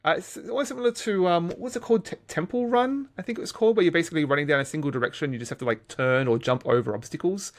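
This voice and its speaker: A man's voice: on the high side, racing, few pauses. A man co-hosting a video game podcast in casual discussion, often repeating and correcting his words as he goes.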